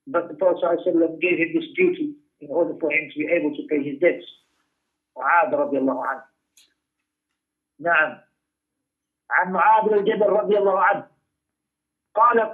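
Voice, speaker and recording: A man's voice: sits high, rapid-fire, long pauses. A man giving an emphatic religious lesson as a teacher, repeating phrases for stress as he reads and explains texts. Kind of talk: Islamic lesson, reading Arabic texts and explaining them in English. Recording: tinny phone-like radio-style lecture audio.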